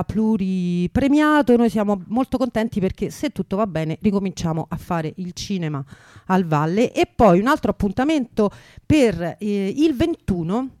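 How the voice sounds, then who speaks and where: A woman's voice: medium pitch, warm tone, no echo, studio quality. A woman from Italy hosting a radio show, announcing events in an informal, digressive and enthusiastic unscripted way.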